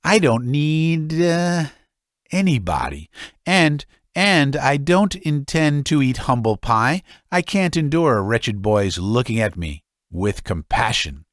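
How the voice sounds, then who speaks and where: A man's voice: mid-range voice, sing-song, slow pace, no room sound, studio quality, voice pushed up. A man voicing a drunken, proud, self-pitying old father who mutters and stammers, in an audiobook reading. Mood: defiant.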